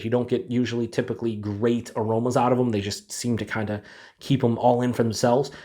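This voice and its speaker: A man's voice: mid-range voice, darkish tone. A man with a stuffed-up head cold giving a laid-back, rambling beer review to camera, full of fillers. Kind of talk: casual solo review to camera.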